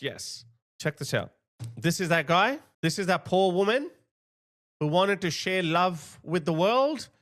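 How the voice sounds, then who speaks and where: A man's voice: a little high, a bit bright. Indignant Pakistani-born man commenting. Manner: indignant, sarcastic, with restarts and asides. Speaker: commentary channel host. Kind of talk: opinionated commentary to camera.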